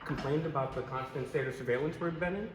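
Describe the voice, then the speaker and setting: A man's voice: mid-range voice, quick pace, crowd noise. A man backing up a camera-wielding auditor who lectures an office staffer about taxes and surveillance. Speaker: fellow auditor. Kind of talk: argumentative questioning on camera. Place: indoor government office. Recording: echoey office with background noise.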